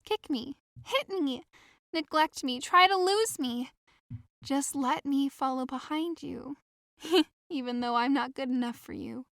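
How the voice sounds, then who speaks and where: A devoted, lovesick young woman played by an actress in an audio drama. She pleads with earnest theatrical feeling in a fairly high voice, recorded close with no room sound. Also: unhurried, vocal fry, fairly bright tone, no room sound, heavily acted. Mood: pleading, devoted.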